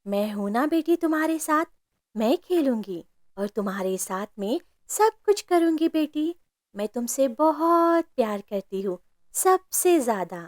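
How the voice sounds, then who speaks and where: A woman acts out a cartoon mother bird, devoted and protective. The voice is high and swings widely with emotion, emphatic and heartfelt, in a very dry recording. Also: big pitch swings, a little slow, dry recording. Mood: tender. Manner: tender, emphatic, indignant when her child is threatened. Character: loving, protective mother bird, adult female, fiercely devoted. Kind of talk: Hindi cartoon story voice acting.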